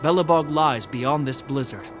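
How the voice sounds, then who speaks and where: A man voicing a solemn, dutiful guard captain in a video game, formal, measured and authoritative. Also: medium pitch, lightly acted. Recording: game music behind the voice. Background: orchestral violin music.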